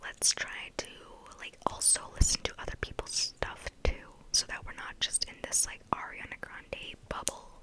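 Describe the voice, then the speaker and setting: A young woman from Texas talks in a steady, airy whisper, as in an ASMR video. Her chat rambles casually and is peppered with "like" and "you know what I mean.". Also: normal speed, hardly any pauses, poor audio. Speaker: ASMR creator.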